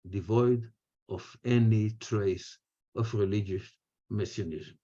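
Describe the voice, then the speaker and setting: An older man with an Israeli accent gives a prepared webinar talk in deliberate, measured phrases. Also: on the low side, mellow, husky. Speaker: speaker, retired history professor. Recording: muffled, lo-fi webinar audio.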